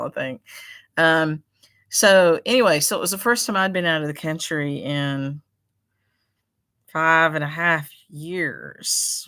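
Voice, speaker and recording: A woman's voice: low-pitched, fairly bright tone, dry recording, clean studio sound. A middle-aged woman who runs a needlework shop, chatting casually on a livestream, rambling and greeting viewers by name. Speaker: stitchery shop owner hosting a livestream. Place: her shop in a small town.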